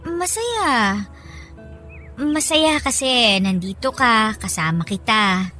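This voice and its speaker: A nervous young wife, really high bright voice, hesitant and plaintive, radio drama thing. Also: female voice, animated, takes it slowly, faint music behind, full character voice. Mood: anxious, evasive. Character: Loisa, anxious, hesitant young wife and mother.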